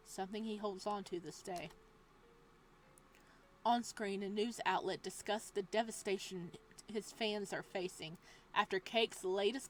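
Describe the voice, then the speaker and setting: A woman reading a visual novel aloud as a let's play host, moving between dramatic narration and casual, expressive reactions. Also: mid-range voice, a little hiss or hum. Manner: expressive, exclamatory asides with laughter between measured reading.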